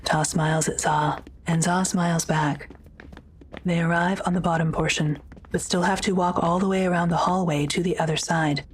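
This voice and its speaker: Casting: female audio drama narrator, very low register, scripted delivery, slight background ambience. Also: fairly even intonation, normal speed, a little hiss or hum.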